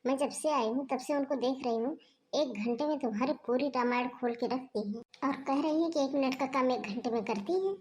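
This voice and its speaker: A woman's voice: sits high, brisk, a little mellow, natural voice, poor audio. Woman as young sister-in-law, brash, blunt, complaining, homemade skit, faint hiss. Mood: indignant. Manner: blunt, grumbling. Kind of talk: homemade family skit acting.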